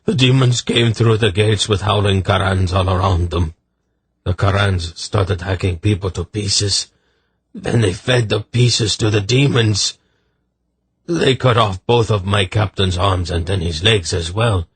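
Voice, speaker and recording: A man's voice: on the low side, steady tone, measured pace, slightly rough, no echo, heavily acted. A man voicing a terrified, half-mad soldier in a storybook, pleading and fearful. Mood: horrified. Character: terrified, crazed young soldier, pleading for death.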